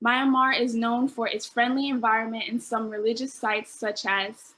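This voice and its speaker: A woman with a fairly high, husky voice hosts an event. She reads prepared remarks aloud in an earnest way, sometimes tripping over unfamiliar words. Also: little pitch movement, moderate pace, fairly bright tone, low-quality recording. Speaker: event host reading out testimonials.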